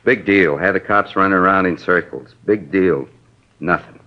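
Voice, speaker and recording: An actor playing a sneering ex-con suspect. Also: male voice, low voice, normal speed, close to an everyday voice. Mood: bitter. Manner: defensive, sneering, bitter. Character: surly male ex-con burglar suspect, bitter and defensive. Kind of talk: 1950s radio drama acting. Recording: dull lo-fi old radio recording.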